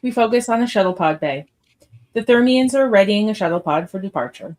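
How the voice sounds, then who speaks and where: A woman's voice: mid-range voice, animated, fairly quick, a little mellow. Female narrator, mid-range voice, reading scene directions for a live radio-style script read.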